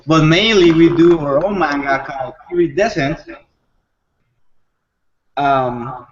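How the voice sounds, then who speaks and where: A man's voice: fairly high pitch, takes it slowly, lo-fi sound. A man chatting casually as a guest creator, easygoing and a little hesitant. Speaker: manga creator, panel guest. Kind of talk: casual chat.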